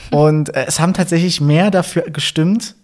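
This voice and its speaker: A young man with a Berlin accent co-hosting a casual podcast, relaxed and chatty, with lots of fillers and little chuckles. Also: fairly high pitch, normal speed. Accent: Berlin German. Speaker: podcast co-host. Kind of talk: casual couple podcast chat.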